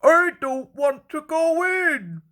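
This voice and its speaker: A storybook steam engine played by a woman, male, sulky and easily scared. The delivery grumbles and wails, sliding up into high, bright cries with very lively pitch. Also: slow, heavily acted. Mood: anxious, unhappy. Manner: grumbling, whining, wailing.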